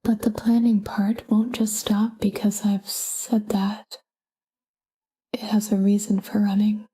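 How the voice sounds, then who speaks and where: A woman narrating a scripted sleep meditation in a calm, gentle, whispery voice with measured delivery. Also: medium pitch, low-quality recording. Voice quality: breathy, whispery. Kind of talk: scripted guided sleep meditation, soft spoken.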